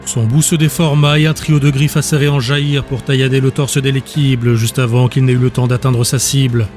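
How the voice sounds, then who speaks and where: Steady male audiobook narrator. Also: medium pitch, faint music behind. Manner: steady, measured storytelling. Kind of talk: scripted narration.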